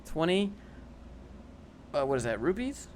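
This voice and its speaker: A man's voice: on the high side, sing-song, unhurried, a little mellow, slight background noise. A young man casually reading and answering chat on a livestream, dry and sarcastic, often rambling.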